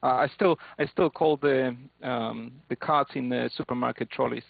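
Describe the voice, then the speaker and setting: A man in his forties with a Polish accent touched by English chats in a halting, friendly way. His voice has a gravelly edge and sounds dry and close. The call audio is narrow and tinny. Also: mid-range voice, dry recording. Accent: Polish, with some English. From Poland. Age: 40s. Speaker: new club member. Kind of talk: casual video call chat.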